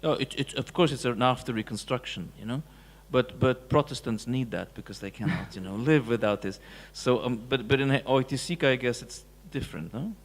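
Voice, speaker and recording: A man's voice: mid-range voice, brisk, hardly any pauses. A man in the audience pressing a speaker with a follow-up question, restarting his sentences as he goes. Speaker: audience member asking a question. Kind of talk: live public Q&A. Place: large lecture hall. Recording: reverberant hall.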